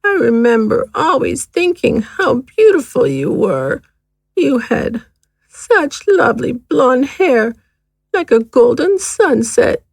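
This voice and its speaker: A woman playing an eerie elderly mother in an audio horror drama, speaking in a slow, halting, haunting way. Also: high-pitched, big pitch swings, gravelly, dry recording, heavily acted. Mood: eerie, wistful. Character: eerie elderly mother Mimi, channeling the dead.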